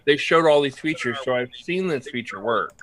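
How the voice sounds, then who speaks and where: A man's voice: medium pitch, expressive, normal speed, a bit bright, no room sound. A man trading casual, teasing banter on a video call. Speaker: participant in a live stream.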